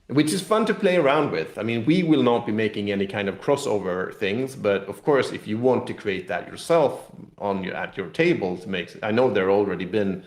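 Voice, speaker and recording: A man's voice: fairly low pitch, animated, normal speed, few pauses. A man talking casually in an interview as a game designer, thinking aloud with repeated words and restarts. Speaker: game designer and publisher guest. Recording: echoey room sound.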